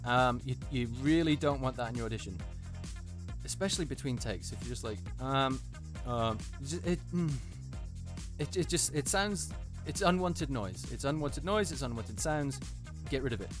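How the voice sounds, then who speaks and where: A man's voice: medium pitch, animated, normal speed, over music. A man giving unscripted casual commentary as host, hesitant and often repeating himself for emphasis. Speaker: host, voice actor reviewing auditions.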